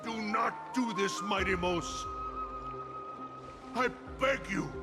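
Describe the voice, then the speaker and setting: A man's voice: high-pitched, slow pace. An actor playing a proud, impassioned warrior subject of a king in a video game, speaking with formal, emotional intensity. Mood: desperate, pleading. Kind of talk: dramatic video game voice acting. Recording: loud music under the voice.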